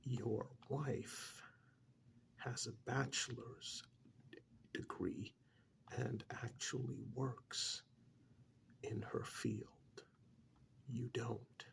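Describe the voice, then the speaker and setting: A man playing a calm, blunt couples therapist in a soft-spoken ASMR role-play, half-whispering with breathy, deliberate, hesitant pauses. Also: medium pitch, slight background noise, heavily acted. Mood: frank, measured. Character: calm, blunt male couples therapist counselling married clients. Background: soft clicking of pencil tapping.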